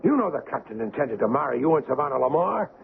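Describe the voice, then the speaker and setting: An actor playing an earnest, indignant young ship's doctor, grave in manner, acting and narrating in a vintage radio drama. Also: male voice, medium pitch, big pitch swings, quick pace, vocal fry, slight background noise. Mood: concerned, indignant. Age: young adult. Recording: muffled old radio broadcast.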